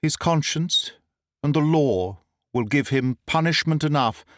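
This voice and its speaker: A man voicing a scheming noblewoman who feigns grief, speaking high, pleading and theatrical. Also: expressive, measured pace, no echo, clean studio sound. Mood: pious.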